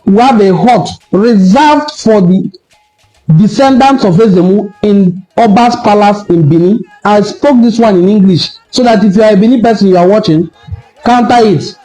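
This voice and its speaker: A man's voice: sits high, moderate pace, warm tone, faint music behind, poor audio. A man with a Nigerian accent giving an impassioned, argumentative online talk full of rhetorical questions. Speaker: cultural commentator.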